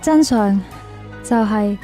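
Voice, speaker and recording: A woman's voice: mid-range voice, slow, lightly acted. A woman playing an insecure, lovelorn young woman in a radio drama, plaintive and questioning, with a Hong Kong Cantonese accent. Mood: heartbroken. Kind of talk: radio drama acting with confessional narration. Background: music under the voice.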